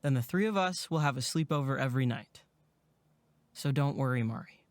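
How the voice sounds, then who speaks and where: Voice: mid-range voice, brisk, dry recording, lightly acted. A performer acting as a protective, practical young male camp counselor in an audio drama. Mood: reassuring, resolute.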